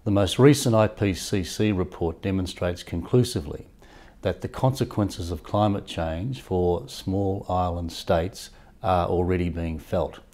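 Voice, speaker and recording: A man delivering a prepared, measured corporate address on behalf of his firm. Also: low voice, mellow. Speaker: company executive.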